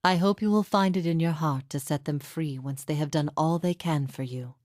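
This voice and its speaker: A woman playing a grave, weary yet steadfast imprisoned heretic prophet in a fantasy game, speaking formally and with measured solemnity. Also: low voice, dry recording, clean studio sound, close to an everyday voice. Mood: solemn, resolute. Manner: solemn, measured, formal, often dropping to breathy softness. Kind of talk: dramatic fantasy game dialogue.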